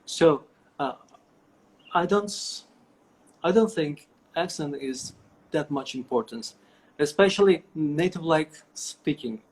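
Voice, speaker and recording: A man's voice: on the high side, expressive, takes it slowly, pauses often, low-quality recording. A middle-aged man with a Turkish accent, answering interview questions over a video call, with many fillers and restarts. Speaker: guest. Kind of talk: online interview.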